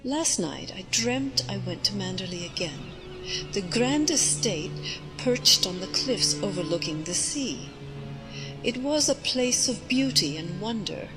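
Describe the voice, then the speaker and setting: A woman playing a haunted, wistful young heroine in a measured first-person radio drama narration. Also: mid-range voice, close to an everyday voice, rough recording. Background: music.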